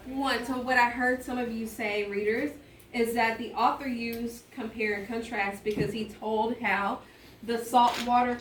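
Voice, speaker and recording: A woman's voice: fairly high pitch, fairly bright tone. Woman teacher in an echoey, distant classroom recording. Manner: instructive, questioning, pauses to prompt children. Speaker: elementary school teacher. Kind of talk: interactive read-aloud to a class. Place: indoor classroom. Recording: echoey classroom, distant mic, faint noise.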